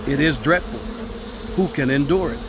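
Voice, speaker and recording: An actor playing a grave, exhorting prophet who narrates dramatized scripture in a solemn, measured, declamatory way. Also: male voice, on the high side, noisy background, natural voice. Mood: urgent awe.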